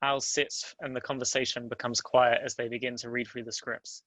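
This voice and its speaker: Bright, even-toned male narrator. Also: mid-range voice, moderate pace, no echo, rough recording. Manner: plain, even reading. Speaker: narrator reading stage directions. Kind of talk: scripted narration at a table read.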